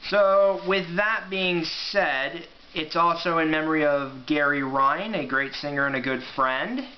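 A man's voice: fairly high pitch, measured pace. A man in his twenties talking casually as an amateur book reviewer, recorded at home on a camera mic. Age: 20s. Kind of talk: casual vlog review with read-aloud passages. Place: small room at home, indoors. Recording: dull home camera audio, faint noise.

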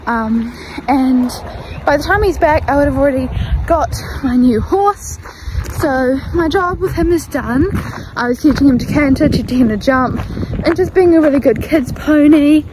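High-voiced young woman vlogging outdoors. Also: moderate pace. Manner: chatty, upbeat, talks to camera while walking. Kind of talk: casual vlog chat. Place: outdoors at a horse farm. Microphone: phone at arm's length. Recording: noisy outdoor phone audio.

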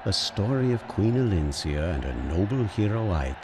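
A man narrating a fantasy game as a solemn storyteller of legend, measured and stately. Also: low-pitched, sing-song, background noise.

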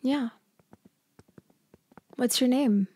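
A woman playing a cunning female mafia leader in an ASMR roleplay, speaking softly and hesitantly. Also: fairly high pitch, very slow, husky, no echo, full character voice. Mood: feigned helplessness. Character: cunning female mafia leader feigning a helpless stranded motorist.

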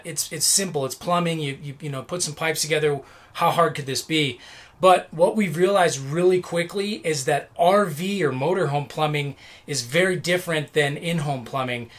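A man's voice: on the high side, a bit bright. Chatty middle-aged man doing RV DIY. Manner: chatty, self-deprecating, lots of fillers and restarts. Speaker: RV renovation vlogger. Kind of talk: casual DIY how-to talk to camera. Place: inside a motorhome kitchen.

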